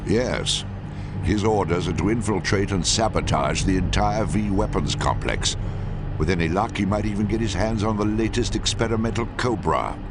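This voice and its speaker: An actor playing an authoritative, formal wartime intelligence chief in a video game, speaking in a measured way. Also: male voice, lowish voice, background noise, lightly acted. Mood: confident, measured. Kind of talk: video game cutscene briefing. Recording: loud music under voice.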